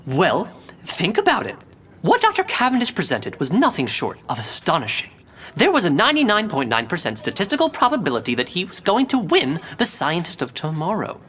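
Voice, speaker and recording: A woman's voice: fairly low pitch, wildly swooping pitch, brisk, fairly bright tone. A woman playing a chatty, pedantic scientist in an audio drama, speaking precisely and full of knowing hints. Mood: knowing, hinting. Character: chatty, know-it-all female scientist and inventor, pedantic and gossipy.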